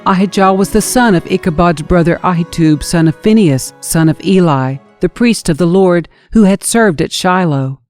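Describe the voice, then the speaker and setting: A woman's voice: lowish voice, normal speed, hardly any pauses, darkish tone, faint music behind. A woman reading scripture as a scripted audiobook narrator, in a storytelling manner. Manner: storytelling, dramatizes quoted speech.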